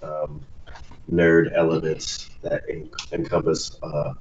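A man's voice: a bass voice, monotone, takes it slowly, darkish tone. A man co-hosting a casual podcast, laid-back, wrapping up the episode. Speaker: podcast co-host. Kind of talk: casual chat. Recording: distant, roomy, muffled, noisy.

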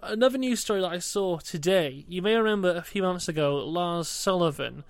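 High, smooth-voiced teasing man. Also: lively intonation, brisk, dry recording. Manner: teasing, dry humour. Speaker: podcast co-host. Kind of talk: casual chat.

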